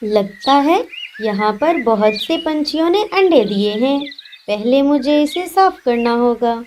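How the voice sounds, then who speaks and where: A woman plays a trusting little mother sparrow in a children's cartoon. Her voice is high, sweet and polite, with faint noise behind it. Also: expressive, unhurried, darkish tone. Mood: cheerful, tender. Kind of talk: Hindi children's cartoon voice acting.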